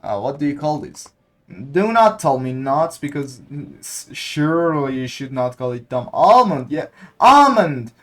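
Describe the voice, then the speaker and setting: A man's voice: fairly high pitch, sing-song, unhurried. A man from Iran with an Iranian accent, a native Persian speaker, giving casual gameplay commentary and hesitantly searching for English words. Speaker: gaming content creator.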